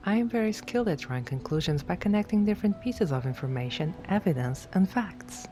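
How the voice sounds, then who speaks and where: A woman reads scripted affirmations for sleep hypnosis in a measured, deliberate way, phrase by phrase. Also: on the low side, lively intonation, mellow. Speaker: affirmations narrator. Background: background music.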